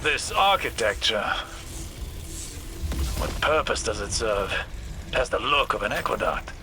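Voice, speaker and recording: A man playing a grave, scholarly sci-fi researcher in a video game, measured and formal. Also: lowish voice, sing-song. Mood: curious, pensive. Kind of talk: scripted video game dialogue. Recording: music behind.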